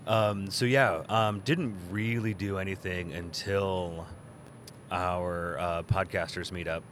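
A man co-hosting a podcast in casual chat, his voice a little worn and husky from the weekend, talking in a relaxed way with fillers. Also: low voice, slow pace, a little hiss or hum. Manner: relaxed, chatty with fillers and restarts. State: voice a bit worn, deep. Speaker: podcast co-host. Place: outdoor courtyard at a convention.

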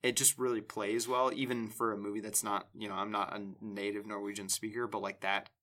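A man's voice: on the low side, level tone, fairly quick, pushed and bright. A man co-hosting a podcast, chatting casually and enthusiastically, rambling with lots of fillers. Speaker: podcast co-host. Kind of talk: casual chat.

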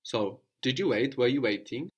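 A man's voice: mid-range voice, animated, normal speed, breathy edge. A man teaching a language lesson, explaining patiently and prompting his student with questions.

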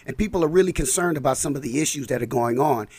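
A man's voice: mid-range voice, fast, darkish tone, husky, no echo. A man, a community council president, talking in a casual interview, a bit scratchy from a cold and rambling with restarts. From Los Angeles.

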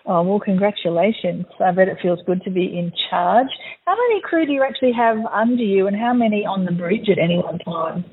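A woman hosting a travel radio show, asking an interviewee questions in a friendly, measured way. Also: mid-range voice, animated, slightly rough, narrow, tinny audio.

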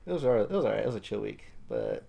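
A young man co-hosting a casual podcast, joking and giggling between deadpan asides. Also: on the low side, racing, gravelly. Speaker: podcast co-host.

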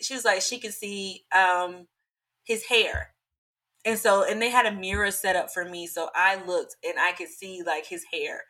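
Bright-voiced young woman, chatty storyteller. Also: on the low side. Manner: chatty, animated, many fillers. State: slightly hoarse. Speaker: podcast guest. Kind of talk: casual storytelling.

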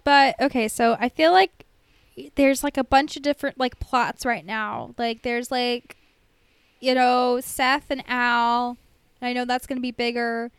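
A woman's voice: a little high, steady tone, unhurried, clean tone, no room sound. A woman co-hosting a podcast in casual chat about a TV show, informal and sweary, saying "like" constantly. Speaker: podcast co-host.

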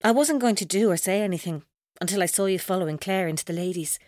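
A woman's voice: lowish voice, fast, darkish tone, no echo, studio quality, close to an everyday voice. A woman playing a tormented, vengeful captor in a radio drama, with an intense, taunting, accusatory delivery. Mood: cold, menacing.

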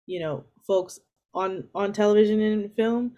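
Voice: medium pitch, unhurried, dark tone, dull audio. Blunt speaker with dry asides. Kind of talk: casual conversation.

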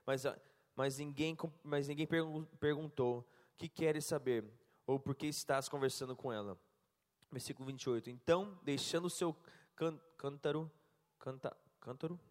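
A man interpreting a sermon live and reading scripture aloud, halting and correcting himself as he goes. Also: a little high. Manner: halting, self-correcting, laughs at slips. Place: church hall. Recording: long church reverb.

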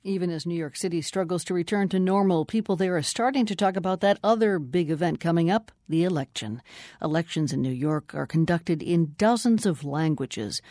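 A man anchors a radio news program, reading a scripted introduction in a smooth, steady way. His voice is recorded dry and close, as in a studio. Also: on the high side, brisk, no room sound.

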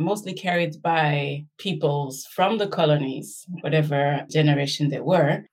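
A middle-aged woman from Belgium, an academic guest speaking reflectively and anecdotally in an interview. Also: fairly low pitch. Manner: reflective, anecdotal, checks in with "right?".

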